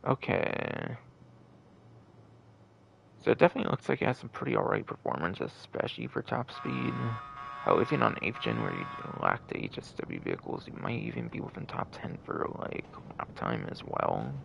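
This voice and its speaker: Deep, gravelly man rambling on stream. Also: sing-song, moderate pace, background noise. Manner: rambling, repeats and second-guesses himself. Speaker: game livestream host. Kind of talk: casual livestream commentary.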